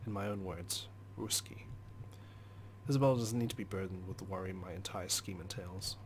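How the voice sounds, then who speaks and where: A man's voice: deep voice, fairly even intonation, normal speed, slight background noise. A man hosting a let's play, reading a visual novel's story aloud as dramatic, brooding narration.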